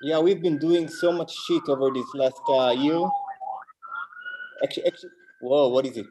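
A man's voice: a little high, normal speed, noisy background. Israeli-accented man telling stories casually. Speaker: band musician, interview guest. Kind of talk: casual interview chat, storytelling.

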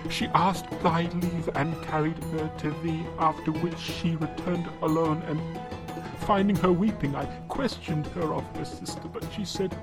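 Man playing a high-voiced, grieving old father. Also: fairly even intonation, music in the background. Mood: sorrowful, confiding. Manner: formal, halting, archaic phrasing. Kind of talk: audio drama storytelling.

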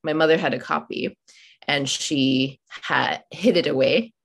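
A woman's voice: low voice, unhurried, creaky, slightly rough. A young woman author who grew up in Oman, Malayalam her first language, talking thoughtfully in an interview with many fillers and restarts. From India, raised in Oman. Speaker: author guest. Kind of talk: podcast interview.

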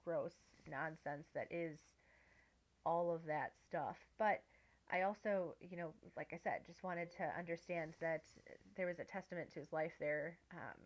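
A woman's voice: low-pitched, steady tone, measured pace. A woman co-hosting a casual podcast, talking reflectively with many "like" and "um" fillers. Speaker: podcast co-host.

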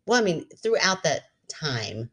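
A woman in her forties co-hosting a podcast in casual chat, an animated storyteller who laughs often. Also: deep voice, a little slow, husky. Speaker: podcast co-host.

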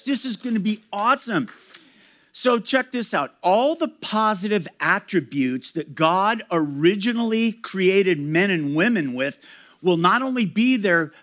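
Guy preaching in church, kinda high voice, earnest and emphatic, talks right to the crowd. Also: fairly bright tone. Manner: earnest, emphatic, addresses the congregation directly. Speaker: pastor. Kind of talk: sermon.

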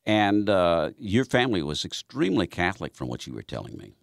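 Deep-voiced radio host with a drawl. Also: male voice, huge pitch swings, brisk, vocal fry, dry recording, clean studio sound. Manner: folksy, chatty with frequent fillers. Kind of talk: radio interview. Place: radio studio. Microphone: studio microphone.

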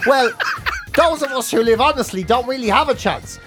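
A man's voice: extremely high pitch, animated, moderate pace, music in the background. An Irish man with a Dublin accent co-hosting a comedic chat show, ranting bluntly and swearing in a mock-serious way. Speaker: podcast and radio co-host.

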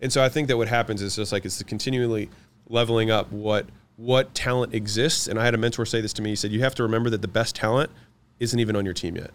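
A man with a fairly low voice talks fast and with confidence in an interview, rattling off his points in quick runs. French is his first language, and he sounds at ease. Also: fairly even intonation, a little hiss or hum. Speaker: guest, business owner. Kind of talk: interview answers.

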